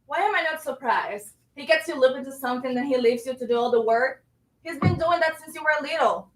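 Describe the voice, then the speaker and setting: A woman's voice: sits high, fairly quick, close to an everyday voice. A woman playing a blunt, skeptical, streetwise character in a staged play reading, roomy and distant from the microphone. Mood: skeptical, sarcastic. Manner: sarcastic, streetwise.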